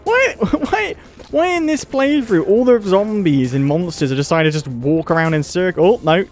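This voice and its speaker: A man's voice: high voice, expressive, normal speed, soft background music. A man with a British accent giving casual, wry commentary while playing a game and laughing at it. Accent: British English. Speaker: let's play host.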